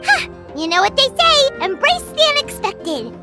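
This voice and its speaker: A tiny, excitable fairy companion from a video game, with an extremely high, childlike voice. She swoops up and down in pitch, exclaiming and huffing indignantly over food. Loud music plays behind her. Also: moderate pace. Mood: teasing. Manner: speaks of herself in third person, exclamatory. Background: game background music.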